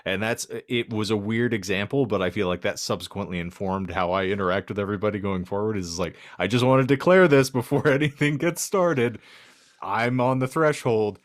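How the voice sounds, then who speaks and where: A man's voice: lowish voice, fairly quick. A man hosting a casual comedic podcast, speaking in wordy, mock-formal phrases with dry humour. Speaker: podcast host.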